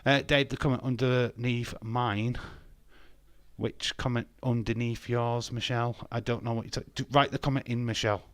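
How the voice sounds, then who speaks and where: A man in his fifties chats loosely at middle pitch, reading viewer comments and firing back blunt, sarcastic answers. He swears without a second thought. His voice sounds close and dry, with no room around it. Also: steady tone, moderate pace, no room sound. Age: 50s. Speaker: live stream host. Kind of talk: casual chat reading live comments.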